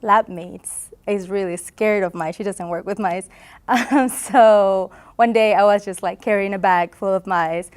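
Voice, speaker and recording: A woman's voice: mid-range voice, fairly even intonation, unhurried, smooth. Honduran woman, earnest and laughing. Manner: earnest, laughs often, frequent fillers. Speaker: graduate student. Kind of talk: recorded interview answers. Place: conference booth.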